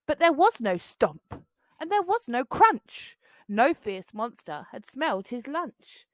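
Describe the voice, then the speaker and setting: A woman reads a children's story aloud with theatrical, rhythmic phrasing. Her voice is fairly high and very animated, and she takes her time over the words. The sound is dry and close. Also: slow, no room sound. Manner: dramatic, rhythmic, singsong. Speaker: children's book reader. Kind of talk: expressive storybook read-aloud.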